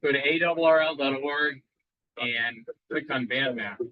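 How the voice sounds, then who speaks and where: A man's voice: mid-range voice, moderate pace, dry recording, narrow, tinny audio. A man giving a live demo at an amateur radio club meeting, rambling with frequent restarts and quizzing the audience.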